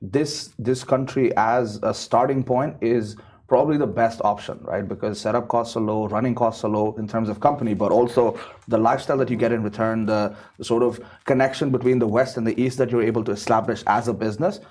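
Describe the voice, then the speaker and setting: A man's voice: medium pitch, fairly even intonation, brisk, darkish tone, husky. A man speaking as a guest in a casual panel discussion, often repeating words and ending points with "right?". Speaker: business setup guest.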